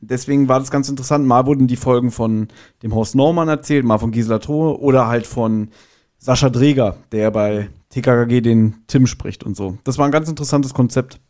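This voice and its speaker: A man's voice: medium pitch, fairly quick, a little mellow. A man chatting casually as a podcast guest, reviewing an audio drama.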